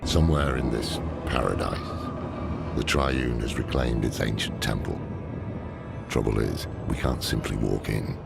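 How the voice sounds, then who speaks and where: A weary, cautious mentor from a video game. His voice is extremely low and heavily gravelled, delivering lore gravely and dryly. Loud music runs behind him. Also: unhurried, full character voice. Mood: somber. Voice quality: very deep, gravelly. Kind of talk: video game cutscene acting.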